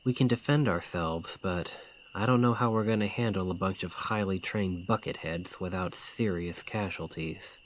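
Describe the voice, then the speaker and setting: A man acting a bandit leader in an ASMR fantasy roleplay, speaking in a colloquial, deliberate, conspiratorial manner. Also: deep voice, a little hiss or hum, lightly acted, low-quality recording. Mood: pondering. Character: gruff but caring male bandit leader, scheming, informal. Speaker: voice actor in audio roleplay.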